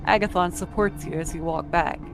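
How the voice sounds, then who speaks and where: A woman's voice: lowish voice, fairly quick, gravelly, over music. A woman narrating a visual novel as a scripted story.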